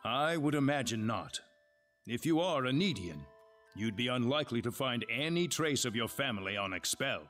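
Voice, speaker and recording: Man playing a grave, expressive civic leader. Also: mid-range voice, soft background music, lightly acted. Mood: grave, discreet. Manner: formal, measured, expository. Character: grave, courteous male leader of an advanced civilization. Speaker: voice actor in a fantasy role-playing game. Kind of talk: dramatic video game dialogue.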